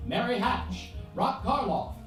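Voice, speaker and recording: A woman's voice: a little high, slow pace, distant mic. A woman playing a showy radio announcer in theatrical old-time style, hosting a variety show. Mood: grand, upbeat. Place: theatre stage. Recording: very echoey hall, music behind.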